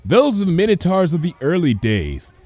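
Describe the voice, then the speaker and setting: Man doing a mare schoolteacher, raised pitch, explanatory, patient, lively intonation, storybook character. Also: normal speed, faint music behind. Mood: explaining. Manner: bright, explanatory. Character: bright, patient female pony schoolteacher, easily exasperated.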